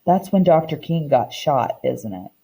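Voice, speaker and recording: A woman's voice: low voice, big pitch swings, unhurried, vocal fry, warm tone, voice pushed down, full character voice. A woman voicing a curious young boy in a storybook who listens to an elder and wonders aloud. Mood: hushed, sad. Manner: simple, wondering questions.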